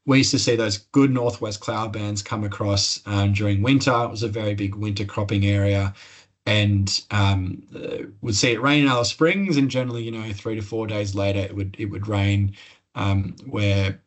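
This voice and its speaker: An Australian man with a fairly low voice presents an online seminar as an expert. He explains things in plain, informal language, feeling his way through sentences with some hesitation. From northern New South Wales, Australia. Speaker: climate expert presenting a webinar. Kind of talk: explanatory webinar presentation with slides.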